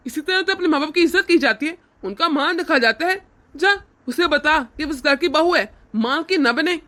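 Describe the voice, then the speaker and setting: Lady voicing a nasty old cartoon dad, scornful, threatening, pretty high-pitched. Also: expressive, moderate pace, fairly bright tone. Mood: contemptuous. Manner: scornful, threatening, barking orders. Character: harsh domineering older man, a tyrannical father-in-law. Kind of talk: Hindi cartoon family drama dialogue.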